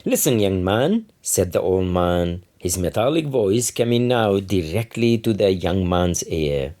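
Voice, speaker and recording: Male author-narrator: low, soft-edged and dark in tone, measured delivery of literary prose. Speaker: author reading own novel.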